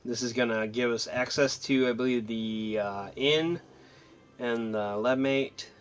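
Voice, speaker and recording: A man's voice: mid-range voice, steady tone, a little slow, clean tone, soft background music. A man giving casual, rambling commentary on his own video game playthrough, thinking aloud as he goes. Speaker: gamer narrating own playthrough.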